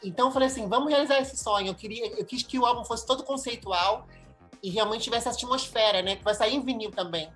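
A woman's voice: fairly high pitch, quick pace, fairly bright tone, faint music behind. A Brazilian woman in her thirties, a singer-songwriter, talking expressively in a casual interview. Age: 30s.

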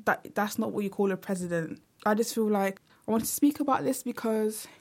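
A woman's voice: medium pitch, brisk. A young woman speaking earnestly in a casual vlog monologue, with frequent fillers like "like" and "you know". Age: young adult. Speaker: vlogger.

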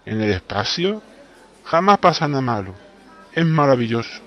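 A man's voice: mid-range voice, sing-song, moderate pace, lo-fi sound, natural voice. A man playing a boastful, absent-minded travelling salesman with an accent from Spain, exclamatory and know-it-all, in a comic radio play. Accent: Spanish (Spain).

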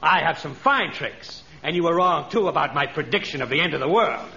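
An actor playing a sly, smug swindling stage magician and villain in an old radio adventure, theatrical, oily and taunting. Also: male voice, a little high, expressive, a little fast, vocal fry, sharp tone, heavily acted. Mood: smug, gloating. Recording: dull old radio recording.

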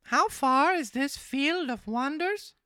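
A woman voicing a naive, excitable wooden marionette boy with an earnest, childlike delivery in a storybook reading. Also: high-pitched, takes it slowly, no echo, voice pushed up, full character voice. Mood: hesitant.

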